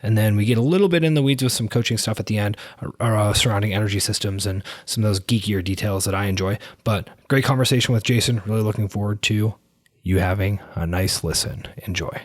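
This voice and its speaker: Low-voiced male podcast host. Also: quick pace. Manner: relaxed, chatty, with frequent restarts. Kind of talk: casual interview conversation.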